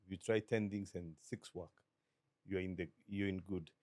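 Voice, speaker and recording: A middle-aged Kenyan man with a Kenyan accent, a business guest talking in a measured, reflective way and slipping into Swahili. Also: low-pitched, steady tone, a little mellow, no echo, clean studio sound. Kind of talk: relaxed interview talk.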